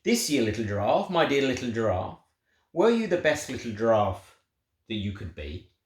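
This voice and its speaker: A man voicing a tender, proud God who slowly questions little creatures in a children's storybook. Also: medium pitch, big pitch swings, natural voice. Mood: tender questioning. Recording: roomy, echoing sound.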